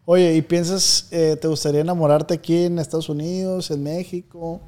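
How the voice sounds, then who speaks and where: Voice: mid-range voice, steady tone, normal speed, dark tone. An interviewer hosting a casual chat, asking playful, teasing questions.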